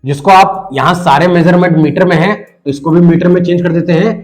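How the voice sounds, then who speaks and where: A man with a hoarse voice from a cold, patiently explaining a math lesson step by step and talking straight to the viewer. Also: high voice, steady tone, soft background music. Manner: patient, addresses the viewer directly, repeats numbers slowly. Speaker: math teacher.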